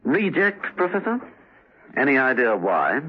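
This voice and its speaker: A man acting a suave, unflappable gentleman secret agent in a 1970s radio drama, urbane and dryly polite. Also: medium pitch, huge pitch swings, a little slow, very noisy. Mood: urbane, wry. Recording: tinny old radio drama recording.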